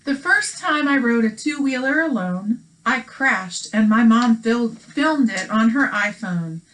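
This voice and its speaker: Higher-voiced woman reading a children's book aloud. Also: expressive, unhurried, fairly bright tone, poor audio. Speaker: read-aloud co-host. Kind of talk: children's book read-aloud with casual chat.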